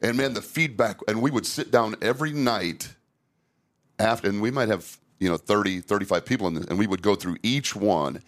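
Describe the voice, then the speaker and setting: A man's voice: lowish voice, fairly quick. A middle-aged man hosting a casual podcast conversation about safety training, speaking candidly with frequent restarts.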